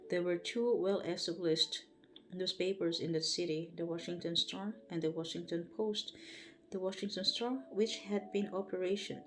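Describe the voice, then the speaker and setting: A woman with a Filipino accent reads a book aloud on a home livestream before bed. She reads carefully and haltingly, stopping to correct herself. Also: fairly low pitch, steady tone, slight background noise, poor audio. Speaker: livestream host. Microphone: livestream setup.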